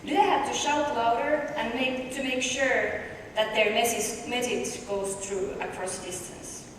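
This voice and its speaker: A woman with a Finnish accent presents a research paper, her voice a touch higher than most and pitched to carry. She is heard from well back in a big hall with long reverb and a steady hiss behind her. She explains step by step and poses questions to the audience. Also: a little slow. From Finland. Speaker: conference presenter. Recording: echoey distant hall recording with noise.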